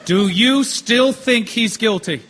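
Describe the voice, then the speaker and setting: An actor playing a reasonable, persistent juror in a radio drama, measured and probing, with a husky voice. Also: male voice, high-pitched, a bit bright, slight background noise. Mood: firm, probing. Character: reasonable, persistent male juror arguing for doubt. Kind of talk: stage-style radio drama acting.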